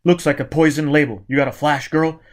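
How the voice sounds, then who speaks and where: Man playing a gruff, driven escaped convict. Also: fairly high pitch, fairly even intonation, fairly quick, natural voice. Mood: gruff, urgent. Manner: terse, clipped, forceful, uneducated grammar.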